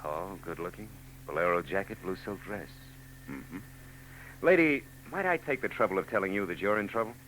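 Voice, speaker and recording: An actor playing a dry, wisecracking, world-weary private detective in a 1940s radio drama, on an old broadcast recording with music. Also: male voice, low voice, expressive, moderate pace, fairly bright tone, a little hiss or hum, low-quality recording. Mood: wry. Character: wry, world-weary male private eye.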